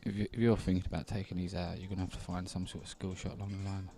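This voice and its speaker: A man co-commentates a pool match in a casual, informal manner. His voice is fairly low and warm, and he often drops to a quieter, mellow tone. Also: flat delivery, racing, creaky. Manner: casual, informal, laughs easily. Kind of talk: live stream commentary chat.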